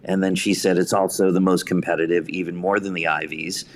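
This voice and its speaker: A man's voice: sits low, flat delivery, fairly quick, a little hiss or hum. An American man in his fifties telling personal anecdotes in an interview, with a self-deprecating, storytelling manner. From suburban New York, United States. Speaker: podcast guest, theater writer and director.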